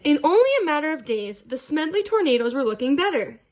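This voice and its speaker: Woman, high voice, storybook narration, children's read-aloud. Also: big pitch swings, brisk, a little mellow.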